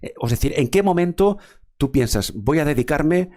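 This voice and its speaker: A man's voice: a little high, animated, normal speed, darkish tone. A man from hosting a formal interview, speaking in a wordy way with frequent fillers and repeated words. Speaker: interviewer, show host.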